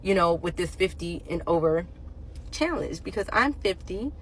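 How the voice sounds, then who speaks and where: A woman's voice: fairly low pitch, animated, moderate pace, a little hiss or hum, low-quality recording. A woman of fifty talking casually and emphatically into her phone, defending people who share their age. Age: 50s. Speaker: commentator. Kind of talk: casual opinion rant.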